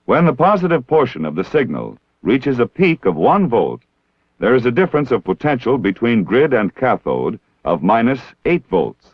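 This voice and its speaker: An American man narrating a scripted training film in a formal, measured, precisely enunciated way. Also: mid-range voice, sing-song, husky, no room sound. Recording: dull 1960s film soundtrack.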